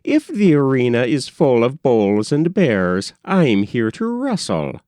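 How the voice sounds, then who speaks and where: A man's voice: mid-range voice, wildly swooping pitch, slow, darkish tone, dry recording, clean studio sound. A man voicing an earnest, prim, determined young society woman in a storybook. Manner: straightforward, prim, resolute.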